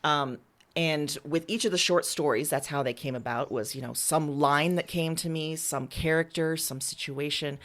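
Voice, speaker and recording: A woman's voice: low-pitched, moderate pace, a bit bright. A woman author answering questions about her writing in a casual conversational interview, building her thoughts in short phrases.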